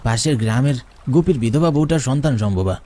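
A man plays a rustic, fearful village fellow from a horror cartoon, urgent and ominous. His voice is dark and mid-pitched, in rural eastern Bengali dialect, with faint watery noise around it. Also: animated, fairly quick, close to an everyday voice. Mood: urgent warning.

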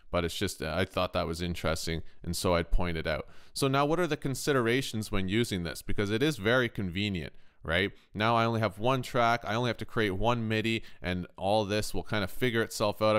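A man presenting a software tutorial in an informal, conversational way, as if thinking aloud. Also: on the low side, clean tone. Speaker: music production tutorial host. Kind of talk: casual screen-recorded software tutorial.

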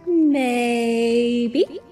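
A woman's voice: on the high side, drawn-out words, mellow. A woman playing a sweet, teasing young mare in a fan audio drama, coy and affectionate with a sweetheart. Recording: distant, music underneath.

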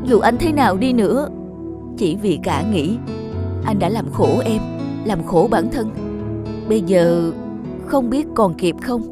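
A man voicing a remorseful, gentle middle-aged lover in a melodramatic animated film, earnest and apologetic. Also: sits high, unhurried, pauses often, over loud music.

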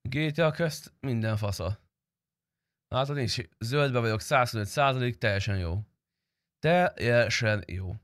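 A young male game streamer with a bright, forward voice. He talks casually and slangily to his viewers, swearing freely. The sound is dry and close. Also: medium pitch, dry recording. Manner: informal, slangy, profane. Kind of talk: casual livestream chat.

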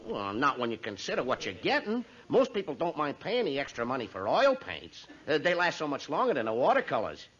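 An actor playing an exasperated, self-pitying department store clerk who frets and mutters, in old radio comedy style. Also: male voice, mid-range voice, wildly swooping pitch, fairly quick, few pauses, a bit bright. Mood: relieved, nervous. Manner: fretful, muttering complaints to himself. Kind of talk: 1950s radio comedy acting. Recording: dull, noisy old radio recording.

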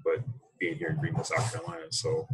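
An American man speaking casually and reflectively as an interview guest on a video call, thinking his way through halting phrases. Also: deep voice, big pitch swings, gravelly, husky. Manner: halting, thoughtful, unhurried phrasing. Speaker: interview guest, coffee roaster. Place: small room indoors, video call. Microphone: computer mic on a video call.

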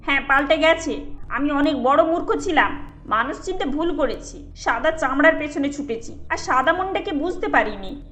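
A woman's voice: sits high, animated, normal speed, a bit bright. A woman voicing an indignant middle-aged mother-in-law in a cartoon, scolding and reproachful. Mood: bitter, regretful.

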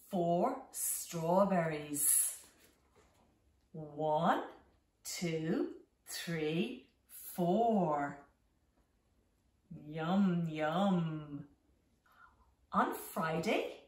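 Middle-aged female storytime teacher, expressive and swooping, recorded in an echoey room away from the mic. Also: on the low side. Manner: very slow, simple, deliberate phrasing with expressive emphasis. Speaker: home teacher reading a picture book to young children. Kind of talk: children's storytime read-aloud with signing. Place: small living room at home, indoors. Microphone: camera a few metres away. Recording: roomy echo, distant microphone.

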